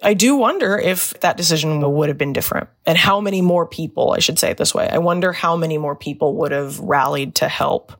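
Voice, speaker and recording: A woman with a deep voice, a former diplomat speaking candidly and reflectively as a podcast guest. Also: brisk, hardly any pauses. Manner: candid, reflective, animated storytelling. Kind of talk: podcast interview.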